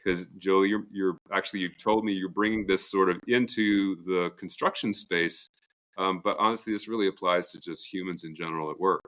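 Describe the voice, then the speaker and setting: A man co-hosting a podcast in an informal, conversational way, with halting, pause-filled introductions. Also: sits low, steady tone, fairly bright tone. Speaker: podcast co-host.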